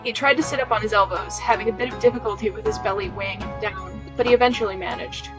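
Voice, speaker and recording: A woman's voice: mid-range voice, fast talker, over music. A woman podcast host reading fan fiction aloud in an amateur, casual narration style.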